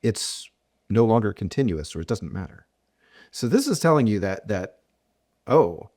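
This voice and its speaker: A man's voice: lowish voice, darkish tone, slightly rough. A middle-aged man, a mathematician being interviewed, explaining ideas thoughtfully with frequent restarts and examples. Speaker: mathematician guest. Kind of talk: academic podcast interview.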